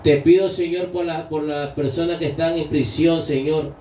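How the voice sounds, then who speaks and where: A man's voice: a little high, steady tone, normal speed, dark tone, a little hiss or hum. Fervent praying man, roomy and distant. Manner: fervent, repeats "Lord" after almost every phrase; pleading, with frequent restarts. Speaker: prayer leader. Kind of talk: spontaneous spoken prayer. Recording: roomy, distant, dull lo-fi sound.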